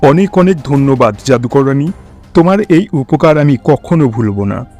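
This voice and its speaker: A man's voice: mid-range voice, expressive, moderate pace, warm tone, faint music behind, close to an everyday voice. A man voicing a formal, earnest king in a fairy-tale cartoon. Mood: grateful. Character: grateful, questioning adult male king.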